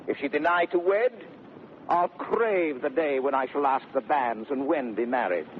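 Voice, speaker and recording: An actor playing a brash, teasing gentleman suitor in 1930s radio Shakespeare, declaiming with mock sweetness. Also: mid-range voice, lively intonation, moderate pace, close to an everyday voice. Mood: scheming, confident. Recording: tinny, noisy 1930s radio recording.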